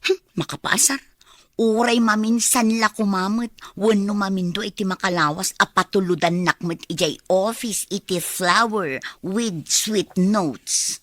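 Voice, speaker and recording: A woman's voice: medium pitch, unhurried, a bit bright, dry recording, studio quality. An actress playing an envious, demanding young girlfriend in a radio drama, with an Ilocano Filipino accent. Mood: wistful, sulky.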